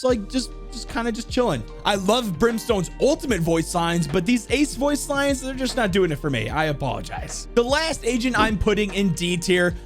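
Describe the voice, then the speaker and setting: A man gives casual gaming commentary as a video host. His voice is high and animated, with chatty emphasis, over soft background music. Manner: chatty, informal, stressing words for emphasis.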